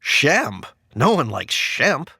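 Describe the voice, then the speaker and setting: A man's voice: mid-range voice, sing-song, slow, vocal fry, sharp tone, slightly rough, dry recording, studio quality, heavily acted. A man playing a flustered, exasperated married political aide in a comedic audio drama, speaking hesitantly with sighs. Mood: embarrassed, exasperated.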